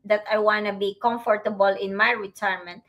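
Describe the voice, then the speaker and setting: A middle-aged woman with a Filipino accent hosting a livestream, talking to viewers in a reflective, halting way. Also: mid-range voice, fairly even intonation, fairly bright tone, muffled recording. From Philippines. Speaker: host, online business owner.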